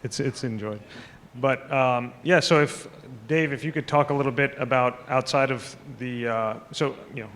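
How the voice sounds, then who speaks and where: A man's voice: mid-range voice, moderate pace, fairly bright tone. A man moderating a conference panel, asking long, loosely built questions. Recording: echoey hall with faint noise.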